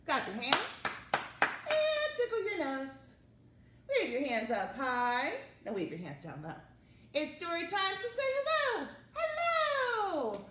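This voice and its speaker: A woman's voice: very high-pitched, wildly swooping pitch, takes it slowly, a bit bright. A woman presenting story time for young children, reading aloud and chatting in a singsong, encouraging way. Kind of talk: children's read-aloud and chat to young viewers. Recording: roomy, distant mic, faint background noise.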